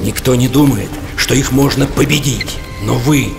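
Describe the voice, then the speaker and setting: Solemn cartoon mentor, male actor, gravelly mid-pitched voice, deliberate rallying speeches, loud music underneath. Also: close to an everyday voice. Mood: solemn, rousing. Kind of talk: dubbed animated film acting.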